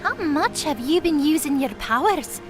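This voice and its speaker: A woman voicing an anxious, earnest and excitable young winged goddess girl in an audio drama. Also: high voice, normal speed, over music. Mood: hesitant, earnest.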